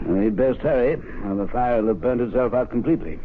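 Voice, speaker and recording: An actor playing a stuffy, authoritative club president in a radio drama, formal and fussy, with a husky voice. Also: male voice, mid-range voice, brisk, slight background noise, lightly acted. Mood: mildly fussy. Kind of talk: old-time radio drama acting. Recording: tinny old radio broadcast.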